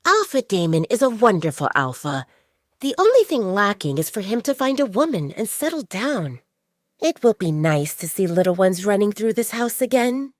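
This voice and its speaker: Female narrator as motherly older werewolf woman: wistful, earnest, medium pitch, audio-drama delivery. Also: very animated, normal speed, no room sound, studio quality, lightly acted.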